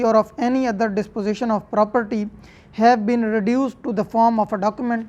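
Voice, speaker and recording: A woman's voice: on the high side, darkish tone. A woman with a Pakistani accent giving an explanatory law lecture, methodically restating each point as a teacher would. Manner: didactic, repeats and rephrases each point. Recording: dull, muffled lo-fi audio.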